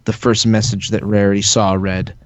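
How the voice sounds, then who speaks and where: A man's voice: on the low side, little pitch movement, normal speed, no echo. A man narrating a fan-fiction story aloud in a comedic group reading, stumbling and laughing over the words. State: sick. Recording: dry, dull lo-fi home mic.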